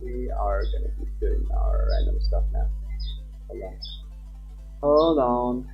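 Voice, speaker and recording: A man's voice: medium pitch, wildly swooping pitch, slow. A man who is a native Nepali speaker, chatting informally on a livestream and half muttering to himself as he goes. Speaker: live streamer. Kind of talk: casual livestream chat. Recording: dull lo-fi stream audio, music behind. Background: background music.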